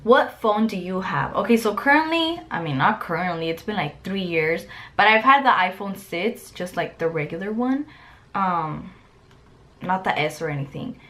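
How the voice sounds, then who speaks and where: Young woman, Mexican-born, Spanish first language; relaxed bedroom vlog chat with loose fillers, close to the camera. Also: fairly low pitch, normal speed. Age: young adult. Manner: chatty, many "like" fillers. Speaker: vlogger. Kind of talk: casual Q&A chat. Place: bedroom, indoors.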